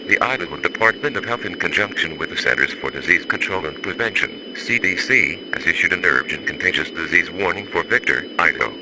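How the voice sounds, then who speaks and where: Male, very deep. Official, stern reader of scripted emergency warnings, with noisy radio broadcast sound. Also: expressive, fairly quick, few pauses. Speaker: emergency alert announcer. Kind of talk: formal scripted public health warning.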